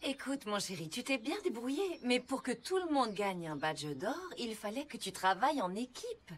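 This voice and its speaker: A woman's voice: fairly high pitch, big pitch swings, moderate pace, husky. A woman playing a caring, capable mother rescue motorbike in a children's cartoon dub. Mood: gentle. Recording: music behind the voice.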